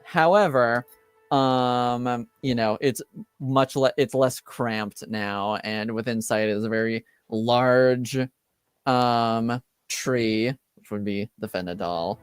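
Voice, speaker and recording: Smooth, slow-talking man running a game. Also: mid-range voice, steady tone, no room sound, clean studio sound, faint music behind. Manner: halting, searching for words while narrating. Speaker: game master. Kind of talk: casual tabletop game narration.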